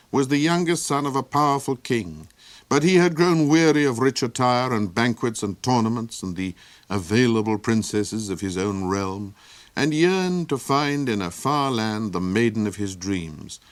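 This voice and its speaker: A man's voice: lowish voice, no echo. A man narrating a fantasy story aloud in a playful, theatrical way. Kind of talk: audiobook storytelling.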